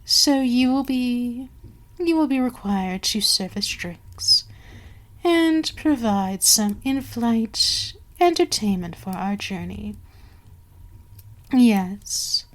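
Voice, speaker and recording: A woman playing a commanding vampire queen in an ASMR audio roleplay, speaking in a measured, formal way with soft whispery lapses. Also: a little high, expressive. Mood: poised, commanding. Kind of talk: slow, breathy ASMR roleplay monologue.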